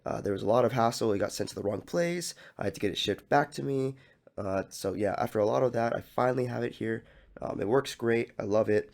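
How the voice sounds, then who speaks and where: A young man hosting a casual solo podcast, his voice a little hoarse and scratchy, rambling easily with lots of "uh"s and restarts. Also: mid-range voice, fairly quick. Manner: relaxed rambling with many fillers and restarts. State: hoarse, slightly scratchy from coughing. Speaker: podcast host.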